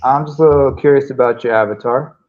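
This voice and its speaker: A man's voice: mid-range voice, fast talker, phone-quality sound. A man of about forty chatting casually on an online voice chat, often interjecting with questions. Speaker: group chat participant.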